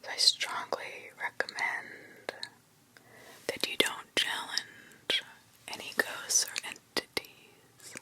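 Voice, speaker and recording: A woman telling ghost stories in a slow, unhurried whisper. Her breathy voice sounds very bright and crisp, and she stops now and then to react with shocked, half-joking disbelief. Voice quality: whispered throughout. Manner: reacts with mild swearing and disbelief between stories. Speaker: ASMR storyteller reading scary stories. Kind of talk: ASMR.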